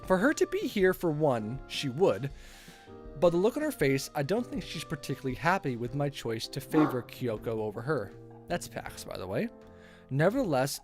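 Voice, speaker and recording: Animated woman streamer reading a game aloud. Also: deep voice. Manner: chatty, reacts to the story aloud. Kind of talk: live visual novel read-aloud with casual commentary.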